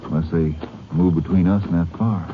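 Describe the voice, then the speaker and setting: Brief: actor as an easygoing lawman sidekick, low voice, hissy muffled old radio recording. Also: steady tone, fairly quick, close to an everyday voice. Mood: wary. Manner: questioning, easygoing. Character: eager, doubtful male Ranger sidekick. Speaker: Ranger partner. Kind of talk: 1950s radio drama acting.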